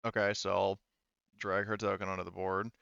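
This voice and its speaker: Male game master, smooth low tone, halting casual narration, muffled voice-chat sound. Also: level tone, fairly bright tone, no room sound. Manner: hesitant, rambling delivery with repeated false starts.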